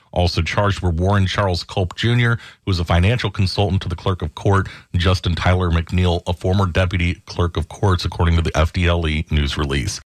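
A male radio news anchor with a very low voice reads headlines in a steady, measured way. The studio sound is dry and close, with no room around it. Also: no echo. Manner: steady, measured newsreader delivery. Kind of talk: scripted news reading.

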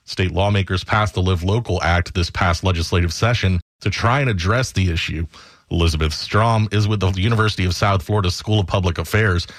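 Low-voiced American man reading news. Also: a little fast, no room sound. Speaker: radio news anchor. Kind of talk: scripted news headline reading.

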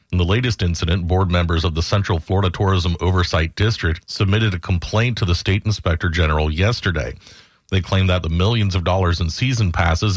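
A man's voice: low voice, no echo. An American man reading scripted news headlines as a radio anchor.

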